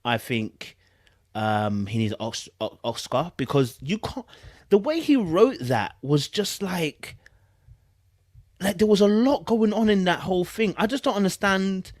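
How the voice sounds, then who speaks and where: An animated British man hosts casual reaction commentary in a fairly high voice that swings widely in pitch. The sound is dry and close, and his delivery is slangy and incredulous. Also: measured pace, no echo. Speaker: online commentary host.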